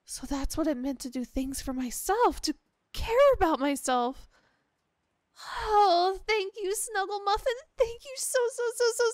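A woman acts out a young yandere girlfriend in an ASMR roleplay, with an extremely high, girlish voice. She speaks slowly and hesitantly, gushing with clingy, insecure devotion. The sound is dry and close, without room echo. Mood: amazed, grateful. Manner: gushing, hesitant, breaking off and restarting.

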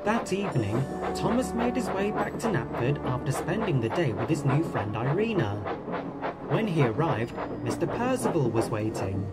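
A woman narrating a children's train story in a calm storytelling way, with music underneath. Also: deep voice, normal speed.

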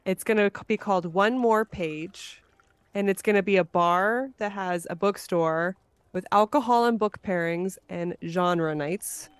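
A woman's voice: medium pitch, smooth, no echo. A woman with an American accent hosting a casual podcast conversation. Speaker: podcast host.

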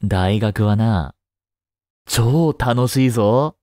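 A man playing a kind, easygoing schoolteacher in a voice drama, gently and casually encouraging a student. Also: medium pitch, no room sound, clean studio sound. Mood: reassuring, encouraging. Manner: gentle, unhurried, casual, reassuring with long pauses. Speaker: voice drama performer. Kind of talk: Japanese voice drama, one-sided intimate talk to the listener.

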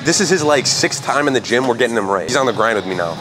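A man's voice: mid-range voice, animated, fast talker, over music, poor audio. A young man talking casually on a lapel mic in a big indoor gym, joking and coaching through a workout. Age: young adult. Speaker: fitness vlogger. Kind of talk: casual workout vlog talk.

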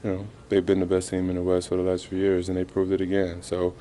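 A man in his thirties answering reporters after a game, halting and subdued, with many fillers. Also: low-pitched, completely flat delivery, warm tone, slight background noise. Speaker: basketball player at a postgame press conference. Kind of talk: postgame press interview. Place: arena press room, indoors. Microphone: reporters' handheld mics held close.

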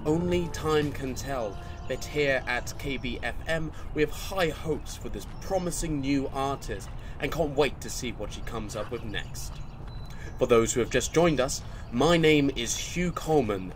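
A man's voice: mid-range voice, lively intonation, measured pace, faint music behind, natural voice, rough recording. A man playing an earnest radio DJ in an audio drama, presenting in a scripted broadcast style. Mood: polished, upbeat.

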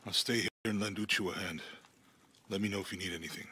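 A loyal game sidekick with a low, bright voice. He is casual and reassuring, with a faint noise behind him. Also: fairly even intonation, quick pace. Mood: supportive. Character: loyal, supportive male travelling companion. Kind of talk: video game cutscene acting.

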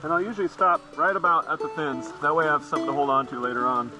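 A man's voice: a little high, very fast, music in the background, low-quality recording. A young man hosting a fishing vlog outdoors in the rain, talking casually and cheerfully about the catch. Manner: easygoing, enthusiastic about fish. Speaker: fishing vlogger host.

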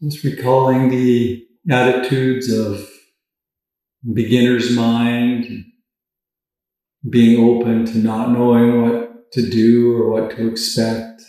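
A man guides a meditation in a gentle, level voice of medium pitch. He speaks very slowly, leaving space between phrases. The sound is strongly reverberant, as if he sits some way from the microphone. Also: level tone. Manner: gentle, unhurried, with long pauses between phrases. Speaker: meditation teacher. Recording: very echoey room, distant from mic.

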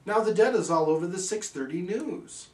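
Male storyteller, theatrical, unhurried, very animated intonation, for rhyming bedtime parody; roomy, slightly distant sound. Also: high voice. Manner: theatrical, measured. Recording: roomy, somewhat distant microphone.

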